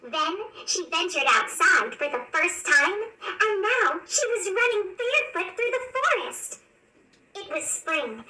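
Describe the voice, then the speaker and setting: A woman reading a children's storybook aloud in an expressive, animated storytelling manner. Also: extremely high pitch. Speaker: storybook narrator. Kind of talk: scripted children's read-along narration. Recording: roomy, distant, faint background noise.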